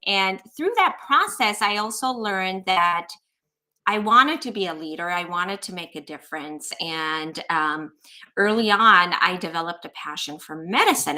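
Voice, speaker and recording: A woman's voice: lowish voice, bright, forceful tone. A middle-aged woman from Iran, a physician and interview guest, telling her life story in an earnest, reflective way with some "you know" and "um".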